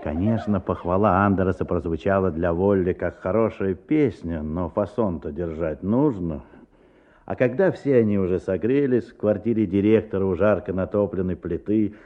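A man's voice: sits low, normal speed, natural voice. A man narrating a children's adventure tale in a scripted radio play. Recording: muffled narrow-band old radio recording.

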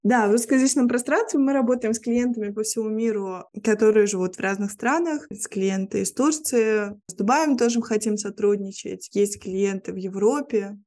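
A woman's voice: mid-range voice, normal speed, few pauses, darkish tone. A woman from Lithuania, a PR specialist and journalist, chatting reflectively as a guest in an interview and searching for words as she goes. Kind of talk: casual interview conversation.

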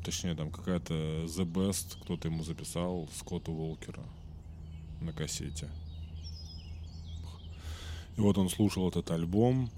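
Deep, mellow-voiced man with a cold. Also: slight background noise. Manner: rambling, many fillers and self-corrections. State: slightly sick, occasional throat clearing. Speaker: podcast host. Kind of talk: casual storytelling monologue.

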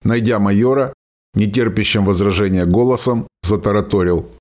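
A man with a fairly low voice narrates a war story. The delivery is scripted storytelling for a radio play. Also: moderate pace, darkish tone.

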